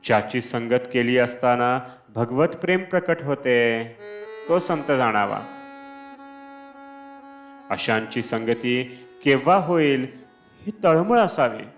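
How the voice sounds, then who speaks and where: Slow-paced man reading a devotional discourse. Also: medium pitch, lively intonation. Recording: dull lo-fi, Indian classical music behind. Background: Indian classical instrumental music.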